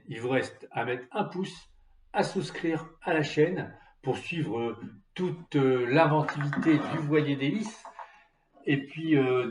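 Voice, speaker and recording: A man talks through a hands-on DIY tutorial in an informal, improvised manner. He hesitates and corrects himself as he goes, at an ordinary medium pitch. The sound is roomy, with some background noise. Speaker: DIY tutorial host on a sailing channel. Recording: roomy echo, clear background noise, clicks.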